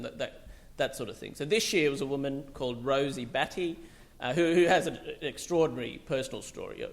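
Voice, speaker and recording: A man's voice: mid-range voice, vocal fry. A middle-aged man from Bangladesh, a psychiatrist, giving a loose, conversational public talk full of fillers and restarts.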